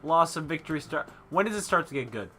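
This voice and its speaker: A man's voice: fairly high pitch, expressive, quick pace, pushed and bright. A young man hosting a let's play, joking casually and reading game text aloud. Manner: joking, bantering. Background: background music.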